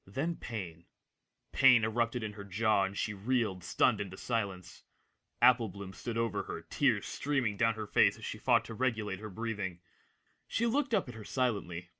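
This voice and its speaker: A man's voice: on the low side, sharp tone. A man reading scripted, dramatic story narration as the narrator of an audio drama.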